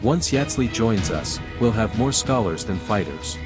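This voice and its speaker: Fantasy RPG hero: composed man, fairly low voice, understated scholar-envoy, music clearly underneath. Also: lively intonation, a little slow. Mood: wry. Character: calm, steady male envoy, scholarly hero. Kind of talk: video game protagonist dialogue.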